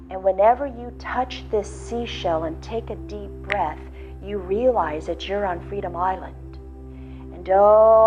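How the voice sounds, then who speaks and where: A woman leading a guided visualization, speaking slowly and soothingly with soft pauses. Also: mid-range voice. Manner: slow, soothing, drawn-out phrasing with soft pauses. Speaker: guided meditation leader. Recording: slightly dull lo-fi audio with faint background music.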